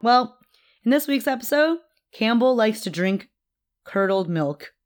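A young woman co-hosting a podcast in casual chat, teasing and quick to laugh. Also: a little high, lively intonation. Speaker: podcast co-host.

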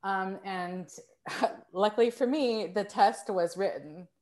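A woman chatting as a podcast guest, telling stories in an animated, self-mocking way. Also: mid-range voice, slow. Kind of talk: casual conversational storytelling.

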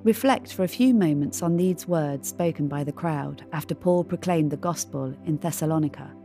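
A woman reading a scripted devotional in a measured, reflective manner. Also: deep voice, warm tone, faint music behind. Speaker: devotional reader.

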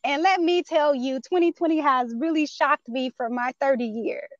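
A woman's voice: sits high, normal speed, no room sound. Earnest thirty-year-old woman, lo-fi. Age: 30s. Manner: earnest, reflective, with many um fillers. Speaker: guest, nonprofit founder. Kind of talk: interview answers. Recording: dull, dry lo-fi audio.

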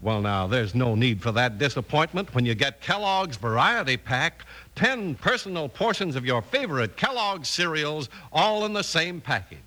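A man's voice: a little high, very animated, normal speed, sharp tone. An American man announcing in a polished, salesmanlike 1950s radio style. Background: music.

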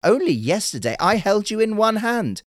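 A man's voice: high-pitched, very animated, moderate pace, no echo, clean studio sound, voice pushed up. A man voicing a humble, curious fisherman in a storybook tale, speaking earnestly and modestly. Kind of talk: storybook character voice.

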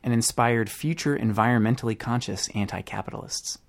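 A man's voice: mid-range voice, fairly quick, creaky. A man reading scripted documentary-style narration for a podcast. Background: music.

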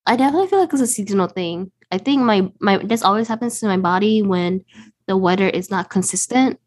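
A woman's voice: medium pitch, animated, fast talker, a little mellow. Stuffy-nosed woman podcast co-host. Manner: joking, self-deprecating. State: stuffy nose. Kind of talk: casual podcast chat.

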